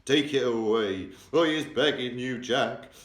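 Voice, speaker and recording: A man's voice: mid-range voice, pitched above the performer's own voice, natural voice. A man voicing a huge child-eating male giant who screams and begs in his sleep, drawn out and bellowing. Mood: pleading. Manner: bellowing, screeching, drawn-out pleading. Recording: roomy echo, distant mic.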